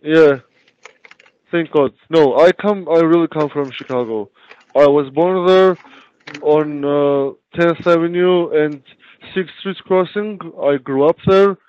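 A man's voice: a little high, takes it slowly, darkish tone, smooth, muffled recording. A man working a call center line, flustered and apologetic, often asking the caller to repeat. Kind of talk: phone call.

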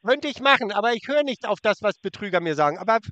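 A man with a very high voice mocks a scammer on the phone, taunting and throwing insults while he talks over him. His tone is bright and sharp. The sound is close and dry. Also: expressive, quick pace, no echo, dull audio. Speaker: prank caller baiting a scammer. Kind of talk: mocking phone prank.

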